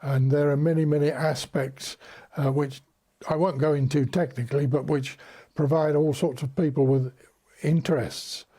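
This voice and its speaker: A man's voice: fairly high pitch, steady tone, normal speed, mellow. An elderly man with an English accent, recalling and explaining things as an interview guest in a courteous, formally worded, hesitant way. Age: 70s or older. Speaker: interview guest, bell ringer. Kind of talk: unscripted recollection and explanation in a broadcast interview.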